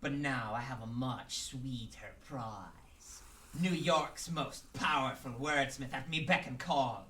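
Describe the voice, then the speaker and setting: An actor voicing a gleeful, sly pirate crime lord with a stage pirate brogue and theatrical archaic speech, in a radio drama. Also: male voice, medium pitch, slow, a bit bright, far from the mic. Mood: triumphant. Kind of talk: amateur radio drama acting.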